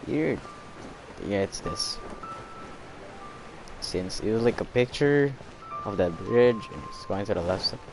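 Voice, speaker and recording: A man's voice: fairly low pitch, expressive, slow pace, pauses often, music in the background, low-quality recording. A young man giving casual gameplay commentary on a stream, rambling hesitantly and often trailing off. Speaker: game live streamer.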